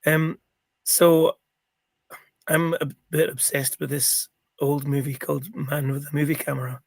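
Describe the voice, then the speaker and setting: A middle-aged man talks as a guest in a casual interview, his voice croaky and gravelly with a husky edge. He sits a little higher in pitch than most men and rambles with plenty of ums and restarts. The sound is dry and close, with no room echo. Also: level tone, measured pace.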